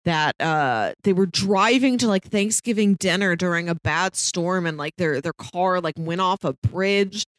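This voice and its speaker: A woman's voice: lowish voice, measured pace, few pauses, dry recording. Dry-sounding, casual woman podcaster. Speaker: podcast co-host. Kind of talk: casual TV show discussion.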